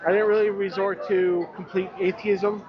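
A man's voice: high-pitched, level tone, moderate pace. A man answering briefly as a street interviewee, in a reserved, matter-of-fact way. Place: outdoors on a crowded town street. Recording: muffled outdoor clip with busy crowd. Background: crowd chatter.

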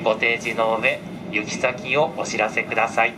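A male train conductor speaks at a middle pitch in formal, courteous announcement style. His measured words come over the car's PA, with clear ventilation and vehicle noise around them. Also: flat delivery. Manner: very polite, measured, formal phrasing. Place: inside a train car. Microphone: camera picking up cabin loudspeaker.

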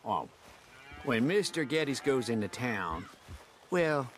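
A man's voice: mid-range voice, sing-song, slow, a little hiss or hum. A young man playing a deferential, eager American ranch hand in a western video game, hesitant and folksy. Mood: eager, sheepish. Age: young adult.

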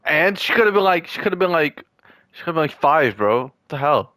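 A man's voice: fairly high pitch, sing-song, a little fast, sharp tone, no echo. Joking young man trash-talking a friend. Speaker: gamer. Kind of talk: casual gaming chat with a friend.

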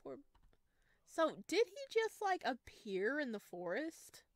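A woman's voice: high-pitched, wildly swooping pitch, slow pace, no room sound. A woman doing a visual novel let's play, reading aloud and reacting in a chatty, playful, giggly way. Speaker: game streamer.

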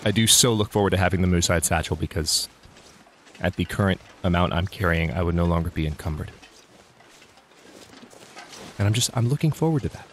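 A man's voice: low voice, animated, a little fast, long pauses, slight background noise. A man casually narrating his own gameplay as a let's play host, thinking aloud with restarts, sounding slightly congested.

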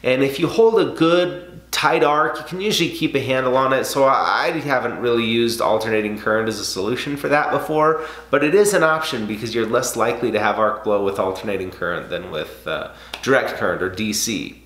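A man's voice: medium pitch, brisk, fairly bright tone. A man in his thirties explaining welding in a casual, conversational way, with frequent fillers, seated in a home garage workshop. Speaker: welding instructor. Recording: roomy garage echo.

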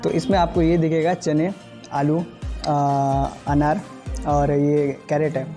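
A man's voice: fairly high pitch, level tone, slow. Chatty Bihari man reviewing food, music behind. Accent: Bihari Hindi. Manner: chatty, enthusiastic, lots of fillers. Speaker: food vlogger. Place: restaurant indoors. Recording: loud background music.

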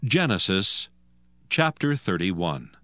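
A man narrating scripture from a script in a measured, solemn storytelling manner. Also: deep voice, very animated, pushed and bright, no echo, studio quality.

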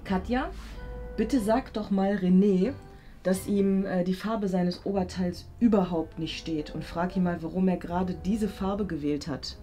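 A woman's voice: on the low side, measured pace, warm tone. A young woman presenting a casual vlog as its host. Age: young adult. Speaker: host giving remote instructions. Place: indoor home studio and small set. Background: music.

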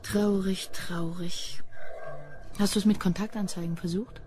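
An actress playing a cool, quick-witted policewoman with a dry, sardonic manner in a radio crime drama. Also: mid-range voice, steady tone, a little mellow, slight background noise, close to an everyday voice. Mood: wry, brisk.

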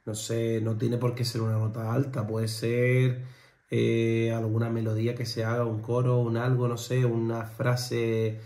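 A young man from Spain co-hosts a casual reaction video from his living room. His speech wanders, full of fillers and restarts, in a mid-range voice. The room adds a clear echo. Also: mid-range voice, completely flat delivery, a little slow, warm tone. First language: Spanish. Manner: chatty, rambling with many fillers and restarts. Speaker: reaction channel co-host. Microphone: fixed camera on a desk. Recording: roomy home echo.

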